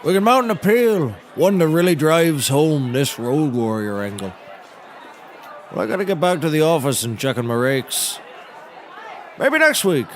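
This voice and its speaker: A man's voice: a little high, sing-song, moderate pace, some chatter behind. A man playing a half-hearted handyman turned lawyer in a scripted audio comedy, matter-of-fact and dismissive. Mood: exasperated, offhand.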